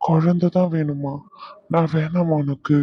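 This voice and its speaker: A man's voice: a little high, steady tone, a little slow, husky, no echo, highs cut off. A man playing an anguished, tender husband in a domestic drama, pleading and soothing his wife with endearments. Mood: tender, pained. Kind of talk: emotional domestic audio drama.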